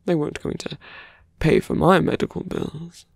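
Guy doing a seductive fantasy villain for asmr, super gravelly n breathy, murmuring close up, kinda sardonic. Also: a little high, steady tone, no room sound. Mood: confiding, bitter. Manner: intimate, slow, breathy murmuring. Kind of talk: ASMR roleplay voice acting. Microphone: close microphone, dry.

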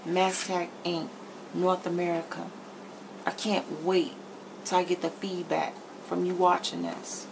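A middle-aged woman vlogger with a lowish, gravelly voice talks bluntly and emphatically to camera. She is recorded in a home kitchen on a camera mic, with some background noise. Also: little pitch movement, measured pace. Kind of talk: candid chit-chat to camera while cooking.

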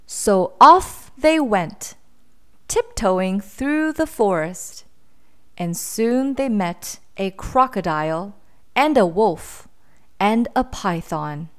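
A woman reading a children's storybook aloud in an expressive, dramatic, deliberate style. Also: medium pitch, clear, resonant tone. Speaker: storybook reader.

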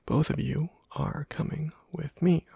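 A man giving soft-spoken ASMR commentary over a video game, husky and often near a whisper, in a rambling, self-deprecating way. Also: a little high, steady tone, unhurried, no echo. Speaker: host of a gameplay video channel.

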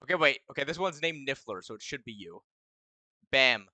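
A man with a deep voice chatting casually on a live game stream, rambling and stop-start, laughing often. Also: animated, a little fast, sharp tone, dry recording. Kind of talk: casual live-stream chat while gaming.

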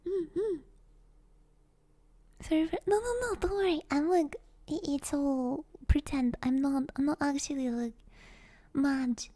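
A woman with a high, soft, dark-toned voice streams ASMR close to the microphone. She mostly whispers, breathy and relaxed, rambling casually as she chats with her viewers. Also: unhurried, pauses often, no room sound. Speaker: ASMR livestreamer. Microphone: close binaural ASMR microphone.

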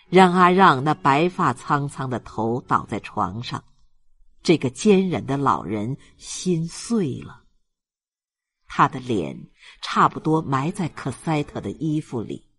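A man's voice: mid-range voice, a bit bright, breathy edge. A man narrating a literary audiobook in a dramatic storytelling style.

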